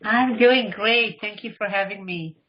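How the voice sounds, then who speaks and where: A woman's voice: mid-range voice, measured pace. A middle-aged woman from Israel, a life coach speaking as a radio guest, telling her story with animation and acting out what people said. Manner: animated storytelling, acts out quoted dialogue. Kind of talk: casual interview talk. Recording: dull lo-fi audio.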